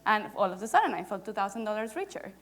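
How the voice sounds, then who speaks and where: A woman with a medium-pitched voice talks on a panel. She tells her story in an animated, playful way and engages the audience directly. She is miked with a lapel on an event stage. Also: fast. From Venezuela. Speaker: startup founder on a panel. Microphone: lapel mic.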